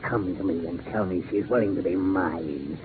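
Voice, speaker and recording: An actor playing a squat, frog-faced gangster villain, obsessed and vengeful, who talks with gloating, deliberate menace in 1940s radio style. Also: male voice, sits low, lightly acted. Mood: bitter, menacing. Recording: noisy narrow-band 1940s radio recording.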